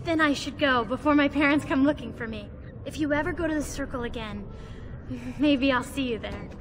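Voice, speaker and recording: An actress playing a bubbly, studious young dwarf woman in a fantasy game, excitable and earnest. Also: sits high, normal speed, noisy background, natural voice. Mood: eager. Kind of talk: video game voice acting.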